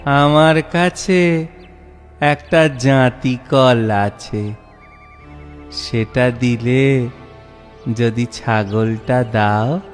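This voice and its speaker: A man voicing a carefree, contented cartoon wanderer with a drawn-out, sing-song way of talking. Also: mid-range voice, big-room sound, soft background music. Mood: bargaining.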